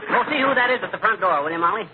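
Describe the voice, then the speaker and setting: A blustery, boastful American husband who wisecracks his way through old radio comedy. His voice sits high and jumps around in pitch. It comes through a tinny, noisy vintage recording. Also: expressive, very fast, over a noisy crowd. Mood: bumbling, wisecracking. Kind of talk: 1940s radio comedy acting.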